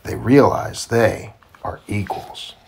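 A man reading a children's storybook aloud to his child in simple, deliberate phrasing. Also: lowish voice, gravelly. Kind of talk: children's read-aloud narration.

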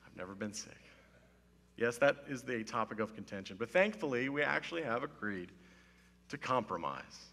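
A slightly hoarse man in his thirties preaches in a loose, joking way, putting on mock-serious drama. His tone is bright and forceful. A long reverb from the hall trails his words. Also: medium pitch, sing-song, moderate pace. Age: 30s. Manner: playful, self-mocking, mock-serious. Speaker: pastor. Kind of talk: casual humorous sermon. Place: church stage with wood-panelled wall. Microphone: headset mic.

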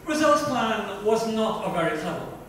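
A woman's voice: medium pitch, lively intonation, a little fast. A woman giving a dramatic reading of literary fiction. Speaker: book reader. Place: indoor club lounge. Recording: echoey room, distant mic, faint noise.

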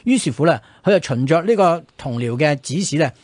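Man, Hong Kong Cantonese accent, slightly high voice, quick pace, conversational history storytelling, rhetorical questions, radio co-host. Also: no room sound. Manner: animated explainer, frequent rhetorical check questions.

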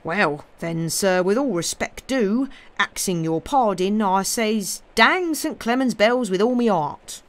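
A woman's voice: mid-range voice, animated, unhurried, few pauses, voice pushed up, lightly acted. A woman voicing a plain-spoken rustic working man in a storybook, folksy, blunt and deferential. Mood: blunt, grumbling.

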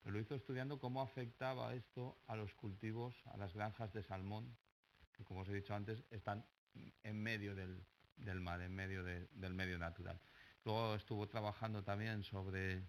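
A man in his forties, a moderator introducing a speaker, halting and repeating words as he searches for them, at a panel desk mic. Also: on the low side, steady tone, slight background noise, low-quality recording. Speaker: session moderator. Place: large university lecture hall. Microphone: table microphone at a panel desk.

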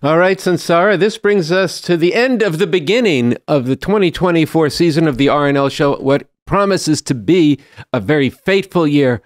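An older man hosting a political show, speaking in measured, rhetorical phrases that build by repetition, close on a studio microphone. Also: fairly high pitch, no room sound. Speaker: show host. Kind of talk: solemn political show sign-off. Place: small book-lined studio, indoors. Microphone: boom-arm podcast microphone, close.

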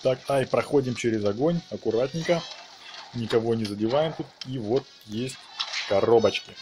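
Man narrating gameplay over clear music. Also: mid-range voice, normal speed. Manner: talks to viewers, exclaims in interjections. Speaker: gaming video narrator. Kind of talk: casual gameplay commentary. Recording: music behind the voice. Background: game music.

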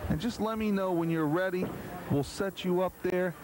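An American man commentates live from inside a bowling alley. His voice sits on the high side and he teases and jokes between calls. The 1990s cable TV audio has plenty of noise behind him. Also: moderate pace. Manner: joking, teasing. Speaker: bowling show commentator and host. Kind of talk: live sports commentary with bantering chat. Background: clear bowling alley noise.

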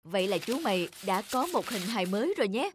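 An actor voicing a kindly, encouraging fisherman father in a cartoon, gentle and reassuring in manner. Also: high-pitched, big pitch swings, quick pace, a little hiss or hum. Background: background music.

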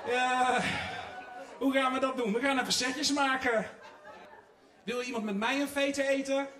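A man's voice: very high voice, steady tone, normal speed, sharp tone, some chatter behind. A man, presenting playfully and teasingly on stage as a show host. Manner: teasing, joking. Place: large theatre stage with choir. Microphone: handheld microphone. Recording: echoey stage, distant from mic.

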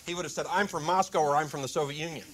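A man's voice: fairly high pitch, fairly even intonation, racing, a bit bright. A middle-aged man with a dry, wry humor, giving a public lecture at a desk microphone in a lecture room. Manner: dry wry humor, quotes with comic timing. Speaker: guest speaker.

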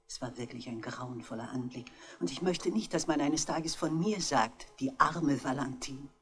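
An older actress playing a genteel elderly widow, courteous and anxious, in German 1960s radio drama style. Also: female voice, extremely low pitch, moderate pace. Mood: anxious, earnest. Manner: polite, refined, expressive.

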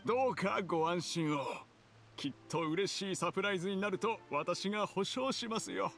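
Polite loyal butler type from an anime game, slightly high voice, formal, music in the back. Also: male voice. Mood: reassuring. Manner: polite, formal, measured phrasing. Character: courteous, devoted gentleman retainer to his boss. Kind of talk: Japanese anime game voice acting.

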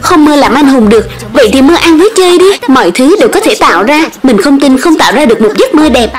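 A woman voicing a headstrong, excitable little boy in a cartoon dub, childlike, whiny and insistent. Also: high-pitched, fast. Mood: scheming, determined. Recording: music behind the dialogue.

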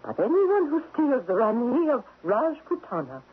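An actress playing an imperious, wealthy widow in a radio drama, sharp and unyielding, with precise, haughty, formal diction. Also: female voice, a little high, huge pitch swings, normal speed, slight background noise. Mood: haughty, stern. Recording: muffled lo-fi old radio broadcast.

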